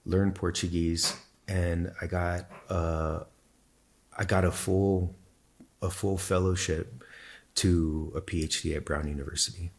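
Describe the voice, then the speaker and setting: Deep gravelly man, Spanish native speaker. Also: level tone. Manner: thoughtful, measured, explanatory. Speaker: podcast guest. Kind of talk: reflective personal storytelling in conversation.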